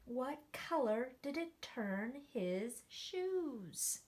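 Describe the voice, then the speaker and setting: A woman teacher reading a picture book aloud to children, animated, with gasps and questions to the kids. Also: sits high, extremely slow. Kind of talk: children's story read-aloud.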